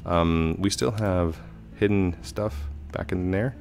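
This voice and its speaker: Man in his thirties, very low voice, hoarse and stuffy from a cold, rambling gameplay commentary, headset mic, faint music behind. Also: steady tone, slow pace, darkish tone. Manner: chatty, rambling, with frequent fillers. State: slightly hoarse with a head cold. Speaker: gaming channel host. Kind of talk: casual let's play commentary.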